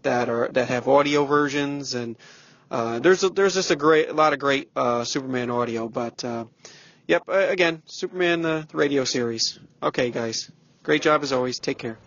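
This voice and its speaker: A man leaving a casual, rambling audio message for a show in a halting manner. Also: mid-range voice, fairly bright tone, no echo. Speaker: listener sending an audio message. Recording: dull lo-fi home recording.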